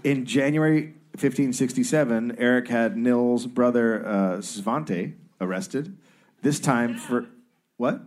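A man's voice: medium pitch, takes it slowly, a little mellow. A man hosting a live comedy podcast, reading out a history story from his notes, stumbling over foreign names and joking along the way. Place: stage before a live audience.

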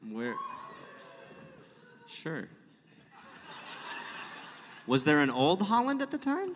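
A man co-hosting a live comedy podcast with playful, quick improvised banter and interjections. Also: a little high, wildly swooping pitch. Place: live show in a hall. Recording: live hall reverb, faint noise.

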